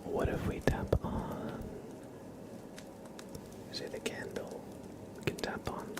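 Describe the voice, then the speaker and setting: An ASMR livestream host whispering casually to viewers in a soft-spoken, unhurried way.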